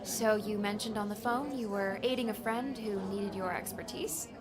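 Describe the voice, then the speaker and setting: A woman's voice: mid-range voice, steady tone, normal speed, crowd noise, natural voice. A woman playing an earnest, slightly awkward office worker with a polite, hesitant manner in an audiobook. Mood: curious, polite. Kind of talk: audiobook character dialogue.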